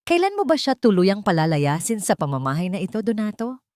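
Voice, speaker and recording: A woman's voice: mid-range voice, very animated, fairly quick, a little mellow, dry recording, studio quality, natural voice. Woman acting a sugary, venomous Filipino mistress. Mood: smug, scornful. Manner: cooing yet cutting, confident. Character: brazen, venomously sweet pregnant young mistress.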